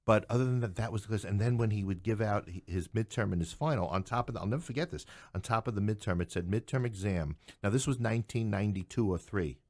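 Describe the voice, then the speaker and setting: A middle-aged man with a deep voice in a dry, close recording. He speaks conversationally as an interview guest, spinning anecdotes with animation and often restarting his phrases. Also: quick pace, hardly any pauses, darkish tone, no room sound. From New York City. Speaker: podcast guest, English professor.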